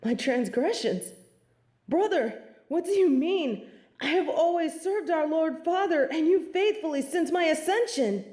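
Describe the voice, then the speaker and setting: A woman's voice: very high voice, lively intonation, unhurried, dark tone. A woman playing a snobbish, merciless angel of judgement in an audio drama, speaking formally with imperious disdain. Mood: alarmed, indignant. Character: haughty, merciless female angel of judgement, snobbish and self-righteous. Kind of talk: scripted audio drama acting. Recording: roomy, echoing sound.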